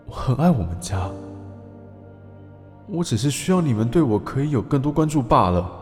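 A lonely young man played by an actor in a radio drama, confessing earnestly in a mid-pitched voice. He, with clear background music underneath. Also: sing-song, moderate pace, lightly acted. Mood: dejected, confessional. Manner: introspective, earnest. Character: troubled, lonely young peeping son, confessional.

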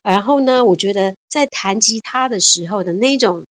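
A woman's voice: mid-range voice, normal speed, dry recording. A woman in her fifties chatting cheerfully as an interview guest, laughing often and repeating words. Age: 50s. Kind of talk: casual interview chat.

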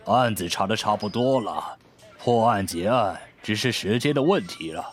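A man's voice: fairly low pitch, expressive, normal speed, soft background music, close to an everyday voice. A man playing a shrewd, composed senior police captain in a radio drama. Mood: composed, businesslike.

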